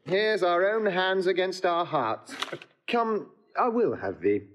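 A boisterous, witty bachelor in a classical radio comedy. His voice sits fairly high and leaps around in pitch, teasing and jovial as he declaims. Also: lively intonation, slow pace, a bit bright, exaggerated voice. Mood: jubilant. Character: witty, boisterous confirmed bachelor giving in to love. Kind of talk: classical stage comedy acting for audio.

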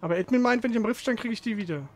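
High-pitched, fast-talking, grumbling male gamer. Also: soft background music. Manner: frustrated, grumbling, sweary. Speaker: video game streamer. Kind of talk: casual gameplay commentary.

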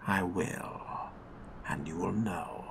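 A man voicing a suave, possessive vampire with refined, theatrical diction and a breathy, whispery delivery in a game roleplay. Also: deep voice, slow pace, slight background noise, exaggerated voice. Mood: seductive, soothing. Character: suave, possessive male vampire fledgling, menacingly tender. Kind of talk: in-character roleplay narration of a video game. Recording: music behind the voice.

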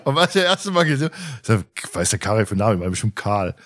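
A man's voice: on the low side, very animated, racing, fairly bright tone. A man hosting a podcast, chatting casually in a playful, teasing, jokey way. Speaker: podcast host.

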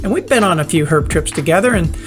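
A woman's voice: fairly low pitch, animated, quick pace, over music. A woman narrating casually, with a wry, joking way of talking. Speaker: photographer and herper.

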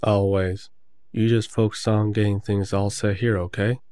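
A man's voice: fairly low pitch, level tone, normal speed, dark tone, clear, resonant tone, dry recording, clean studio sound, lightly acted. A man playing a protective, reassuring werewolf in an audio drama, speaking fondly. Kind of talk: roleplay audio drama acting.

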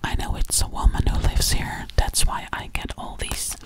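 A young woman whispering close to the microphone in an ASMR style, playing an alien girl and lingering repetitively on single words. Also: moderate pace. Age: young adult. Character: alien girl hiding in a stranger's house. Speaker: ASMR performer. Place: small bedroom, indoors.

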